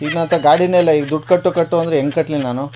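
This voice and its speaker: A man's voice: fairly high pitch, fairly even intonation, very fast, mellow. A man making a radio prank call as a confused customer, argumentative and persistently questioning. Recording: clearly noisy radio prank call recording.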